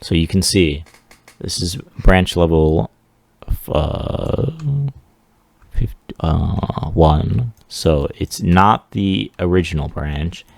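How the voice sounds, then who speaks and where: A man giving a casual, unscripted software walkthrough as a 3D artist, rambling along in a self-deprecating way. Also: low-pitched, drawn-out words, gravelly, no echo. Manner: rambling, self-deprecating, chuckles at own remarks. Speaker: 3D artist presenting a demo.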